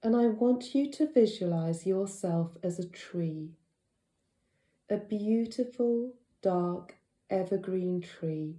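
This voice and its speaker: A woman leading a guided relaxation as a yoga nidra teacher, speaking gently and soothingly with unhurried pauses. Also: medium pitch, animated, reverberant.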